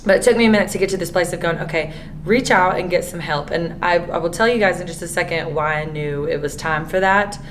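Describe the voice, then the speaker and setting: A hoarse woman host who is losing her voice, talking candidly in a rambling way with many fillers. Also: fairly low pitch, fast talker, background noise. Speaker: podcast host. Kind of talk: casual monologue.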